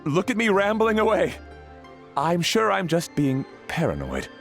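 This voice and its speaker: An actor playing a polite, worried household butler in a fantasy video game, speaking in a deferential, formal and hesitant way. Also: male voice, high-pitched, huge pitch swings, measured pace, vocal fry, a bit bright, soft background music, full character voice. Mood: uneasy, confiding. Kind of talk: scripted video game dialogue.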